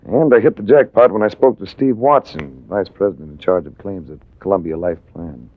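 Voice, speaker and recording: A man's voice: low-pitched, fairly quick, no echo. A man playing a wry, self-assured hardboiled investigator in 1940s radio drama style, narrating and talking. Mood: wry narration. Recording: tinny, noisy vintage radio recording.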